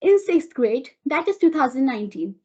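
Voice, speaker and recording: A woman's voice: high voice, very animated, moderate pace, darkish tone. A teenage schoolgirl with an Indian English accent reads a prepared speech at a school orientation, recited in a formal manner. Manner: formal, recited, bilingual greeting. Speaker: student speaker. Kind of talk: prepared speech read aloud. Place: school auditorium. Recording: dull lo-fi audio.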